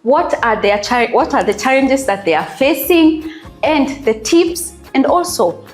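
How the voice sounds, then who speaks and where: A woman's voice: high voice, lively intonation, measured pace, echoey room, soft background music. A woman hosting a cooking talk show, welcoming guests and asking questions, often chiming in with affirmations. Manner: frequent affirming interjections. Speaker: host. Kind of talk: show host interview.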